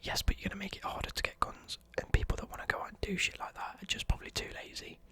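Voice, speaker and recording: A young adult whispering a casual, enthusiastic ASMR music review, swearing now and then and muttering lyrics under the breath. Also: fast talker. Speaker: ASMR vlogger.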